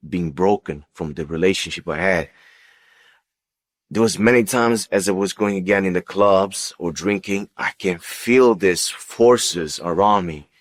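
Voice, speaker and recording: Very deep-voiced man giving testimony. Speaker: guest giving a personal testimony. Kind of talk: unscripted personal testimony.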